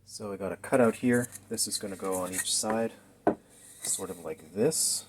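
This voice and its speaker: A man's voice: low voice, normal speed. A man in his thirties casually narrating a DIY build in a garage workshop, thinking aloud informally as he works. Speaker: DIY maker, host. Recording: noisy workshop recording with clicks.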